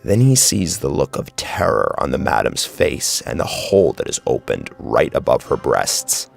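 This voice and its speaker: A man with a gravelly voice narrating a horror story in a dramatic, scripted style. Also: a bass voice, big pitch swings, normal speed. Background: clear music.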